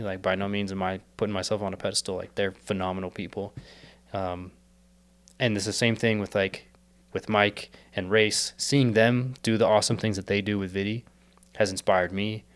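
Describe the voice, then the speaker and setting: Young man, low smooth voice, earnest, rambling, fillers, voice slightly worn. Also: steady tone, normal speed. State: losing his voice. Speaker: podcast guest, startup co-founder. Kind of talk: casual reflective interview talk.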